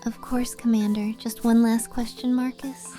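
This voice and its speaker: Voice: medium pitch, level tone, moderate pace, mellow, soft background music, pitched above the performer's own voice, lightly acted. A performer voicing a young, inquisitive, polite girl in a fantasy audio drama, earnest and questioning.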